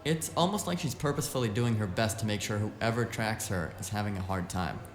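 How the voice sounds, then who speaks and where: A man's voice: fairly low pitch, brisk, lightly acted. A man playing a wisecracking, streetwise hacker sidekick in a cyberpunk audio drama, talking in a casual, slangy, flippant way. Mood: focused, musing.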